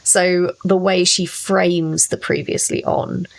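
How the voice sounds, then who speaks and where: A woman's voice: on the low side, flat delivery, takes it slowly, creaky, poor audio. A woman chatting casually as a co-host on a podcast about writing.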